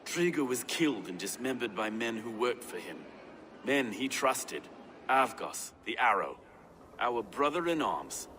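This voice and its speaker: An actor playing a grave, loyal medieval warrior in a video game, speaking in solemn declarations. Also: male voice, mid-range voice, sing-song, unhurried, pushed and bright, a little hiss or hum. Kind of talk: dramatic video game dialogue.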